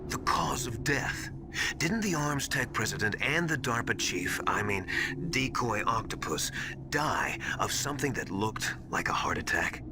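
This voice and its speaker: A man playing an earnest, secretive military informant in a dramatic video game, hushed and hesitant as he confides. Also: mid-range voice, lively intonation, unhurried, heavy background noise. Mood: secretive, worried. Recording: loud music bed under voice.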